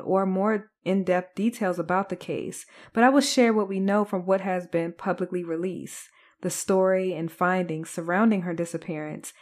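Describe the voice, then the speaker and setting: A woman podcast host delivering scripted true crime narration. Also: lowish voice.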